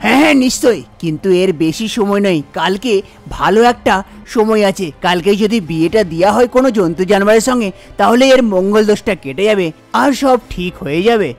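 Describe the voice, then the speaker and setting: A man's voice: high voice, lively intonation, brisk, soft background music. A man voicing a fussy priest and astrologer in a cartoon story, making grave pronouncements and explaining things with repeated exclamations. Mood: reassuring, explaining. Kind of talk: Bengali cartoon story dialogue.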